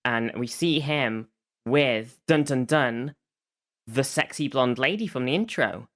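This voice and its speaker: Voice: mid-range voice, lively intonation, takes it slowly. A podcast host recapping a show in casual conversation, feeling for words along the way.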